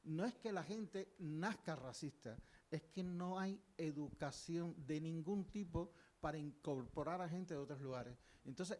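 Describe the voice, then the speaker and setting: Older guy talking at a panel, kinda high voice, earnest, lots of ehs. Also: a little mellow. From Cuba. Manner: earnest, discursive, many fillers and restarts. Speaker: audience member, historian. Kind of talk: public panel discussion.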